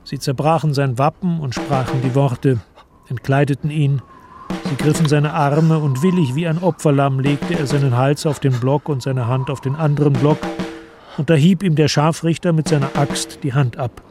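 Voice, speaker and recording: A man narrating a literary radio play from a script in a measured, solemn manner. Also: fairly high pitch, fairly even intonation, music in the background.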